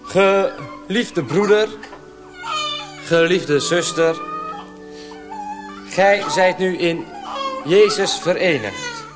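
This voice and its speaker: Solemn, halting young priest, swooping pitch. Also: male voice, very animated, music in the background, full character voice. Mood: solemn, fervent. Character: gentle, frail young village priest, solemn and resigned. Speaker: actor in a radio drama. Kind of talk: Dutch radio play acting.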